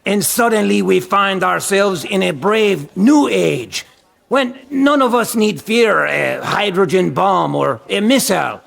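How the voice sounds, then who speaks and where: A man's voice: high-pitched, lively intonation, a little slow, creaky, fairly bright tone, heavily acted. A man playing an earnest, idealistic delegate in a radio drama, fervent and oratorical. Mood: earnest, persuasive. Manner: hesitant but fervent, oratorical.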